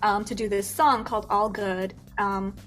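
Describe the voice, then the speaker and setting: A woman's voice: medium pitch, steady tone, moderate pace, fairly bright tone, faint music behind. A young American woman chatting as a guest singer in an interview, talking with lots of fillers, restarts and giggles. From United States. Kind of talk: casual interview chat.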